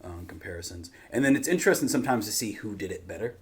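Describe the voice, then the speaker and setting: A man hosting a reaction show with casual, conversational commentary, often trailing off quieter. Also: lowish voice, expressive, quick pace.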